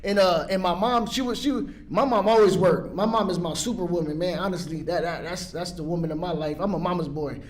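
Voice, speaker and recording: High-voiced man rambling through his story. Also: a little fast, few pauses, a little hiss or hum. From San Pedro, California. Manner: casual, rambling, many restarts and fillers. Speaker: recovery meeting speaker. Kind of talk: personal recovery story.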